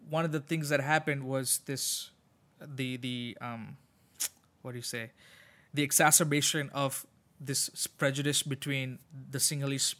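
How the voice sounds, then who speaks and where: A man raised in Sri Lanka speaks as a guest pastor on a podcast, his voice sitting at a middle pitch. He talks through his answers thoughtfully, pausing and restarting phrases as he gathers his thoughts. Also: a bit bright. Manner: thoughtful, with frequent restarts and fillers. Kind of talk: conversational interview answers.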